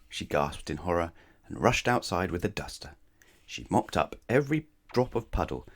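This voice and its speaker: A man reading a children's bedtime story aloud as narrator, expressively, with dramatic pauses and questions to the listener. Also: low-pitched, gravelly.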